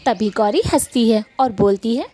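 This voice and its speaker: A woman's voice: on the high side, moderate pace, mellow, slight background noise. A woman giving scripted narration for a children's cartoon story, as the storyteller.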